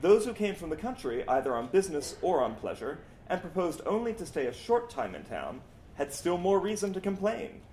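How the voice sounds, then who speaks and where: Higher-pitched man reading aloud, lively intonation. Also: a little fast. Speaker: reader. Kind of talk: scripted reading aloud of a historical account. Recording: roomy echo, background noise.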